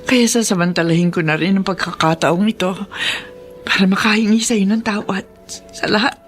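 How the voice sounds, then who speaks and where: An actress playing a humbled older mother-in-law in a Filipino radio drama, emotional, halting and pleading. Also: mid-range voice, fairly even intonation, soft background music, natural voice. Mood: grateful, remorseful. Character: remorseful older mother-in-law, once mean, now humbled.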